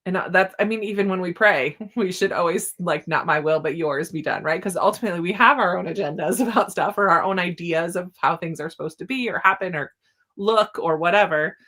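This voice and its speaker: A woman's voice: lowish voice, a little fast, a bit bright. A woman hosting a casual book discussion, chatting informally and often laughing through her words.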